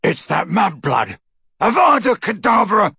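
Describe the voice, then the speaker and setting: A man's voice: wildly swooping pitch, a little slow, breathy edge, no echo, exaggerated voice. An audiobook bully: a huge teenage boy, thick and dangerous. His speech is ungrammatical and full of brutish menace. The sound is close and dry. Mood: ferocious. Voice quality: soft, low menace breaking into yells. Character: huge teenage male bully, slow-witted, ferocious, soft-spoken henchman.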